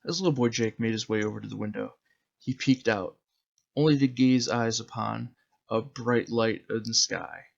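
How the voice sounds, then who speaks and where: Gravelly man reading a story aloud. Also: medium pitch, normal speed, dull audio. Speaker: author reading his own zine. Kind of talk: dramatic storybook narration of an illustrated zine.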